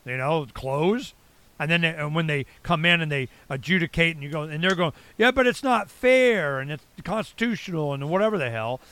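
A man of about sixty with an American accent and a slightly higher-set voice. He talks in a loose, rambling, blunt way with salty slang, like a talk show host chatting with a guest. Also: lively intonation, moderate pace, a bit bright, smooth, no echo. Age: late 50s to early 60s. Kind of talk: casual conversational interview.

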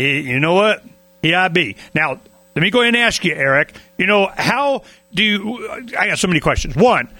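High, bright, excitable talk host. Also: male voice, no room sound. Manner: excitable, playful, interrupts with quick questions. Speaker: radio talk show host. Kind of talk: casual interview chat.

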